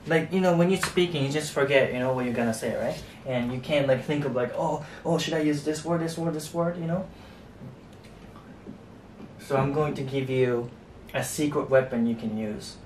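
A young man with a Japanese accent, teaching a relaxed whiteboard lesson and tagging his sentences with a soft "ne" and "you know". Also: moderate pace. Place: small indoor room at a whiteboard. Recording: echoey room, distant mic, faint rumble.

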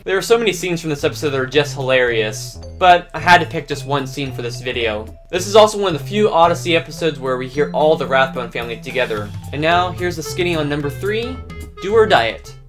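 A man's voice: a little high, lively intonation, fast talker, a bit bright. A man in his twenties presenting a scripted countdown to camera. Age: 20s. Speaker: video presenter, fan reviewer. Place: small indoor room with bookshelves. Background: faint guitar music.

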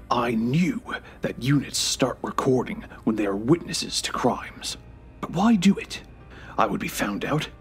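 A man's voice: mid-range voice, close to an everyday voice. Man playing a weary, defiant dying old man. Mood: bitter, resigned. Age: 90s as played. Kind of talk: audio drama acting. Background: music.

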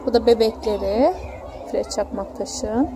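A woman's voice: on the high side, a little slow. A young woman, a mother chatting casually with her child as she films on a phone outdoors. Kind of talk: casual chat with a child while filming. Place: outdoor children's theme park. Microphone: handheld phone, behind the camera. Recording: outdoor phone recording, ambient park noise. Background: faint outdoor ambience.

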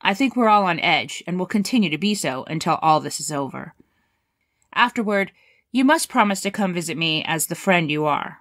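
A lowish female voice from an actress plays a colonel in an audio drama. She comes across as firm and loyal. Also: animated, normal speed, a bit bright. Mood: weary, caring.

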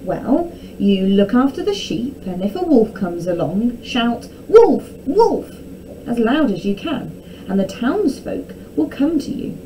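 A woman's voice: on the high side, very animated, a little slow, dark tone, distant mic, a little hiss or hum, poor audio. A woman voicing a reluctant, indignant shepherd boy in a children's storybook, protesting plaintively. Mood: earnest.

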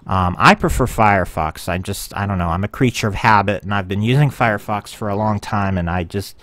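A man's voice: fairly low pitch, fairly quick, a bit bright, no echo. A man casually walking viewers through his website in a screen-share, rambling a little with frequent ums and self-corrections. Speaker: website owner giving a tour.

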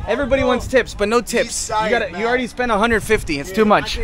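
A man's voice: high voice, quick pace, a crowd in the background. A man in his thirties chatting to the camera as a travel vlogger, filming himself at arm's length on a small boat outdoors. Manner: chatty, talks straight to camera, opinionated asides. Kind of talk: casual travel vlog. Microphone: action camera held at arm's length. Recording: outdoor wind and boat noise. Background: wind and water noise.

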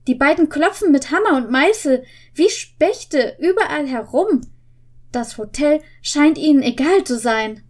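A woman's voice: high-pitched, expressive, measured pace. A young woman voicing eager boy detectives while reading storybook dialogue aloud with emphasis. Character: excited, sure-minded boy detectives in a story.

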